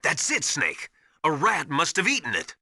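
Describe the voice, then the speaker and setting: An actor playing a knowledgeable, commanding military mentor in a video game, giving didactic and urgent instructions with emphasis. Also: male voice, a little high, huge pitch swings, moderate pace, creaky, sharp tone, no room sound, studio quality, exaggerated voice. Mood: urgent, insistent. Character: knowledgeable, commanding male military survival mentor called Master. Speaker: radio support advisor.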